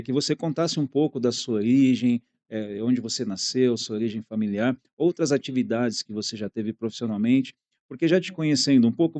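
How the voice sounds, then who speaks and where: A man's voice: mid-range voice, a little mellow. A man hosting a podcast, who talks conversationally and asks his guest questions in a friendly way. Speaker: podcast host. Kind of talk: podcast interview.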